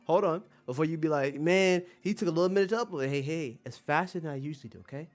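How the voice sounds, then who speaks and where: A man's voice: fairly high pitch, fast talker. A man talking casually to his viewers as a streamer, rambling in an emphatic, slangy way and repeating phrases for emphasis. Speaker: streamer and manga commentator.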